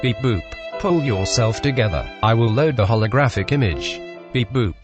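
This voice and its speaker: A man playing a deadpan, easily offended robot assistant in a comic audio drama, speaking in a stilted manner. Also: mid-range voice, moderate pace, faint music behind, lightly acted. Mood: matter-of-fact. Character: deadpan, prissy old-model robot assistant.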